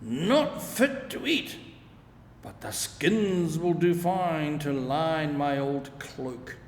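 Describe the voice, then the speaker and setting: A man voicing a scolding, suspicious old farmer's wife from a storybook, in a sharp-tongued, nagging manner. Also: fairly high pitch, drawn-out words, heavily acted. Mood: dismissive. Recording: echoey room, long reverb, steady background noise.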